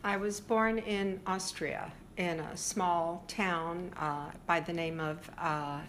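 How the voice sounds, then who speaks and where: An older woman being interviewed about her family history, speaking reflectively and pausing often with fillers. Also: lowish voice, steady tone, a bit bright, a little hiss or hum. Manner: reflective, hesitant with frequent fillers.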